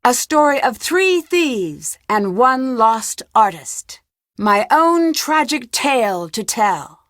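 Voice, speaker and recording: A woman voicing a bold, composed stage storyteller in a video game, with deliberate theatrical delivery. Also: on the high side, very animated, a bit bright, dry recording, clean studio sound, exaggerated voice. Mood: dramatic. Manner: deliberate, dramatic.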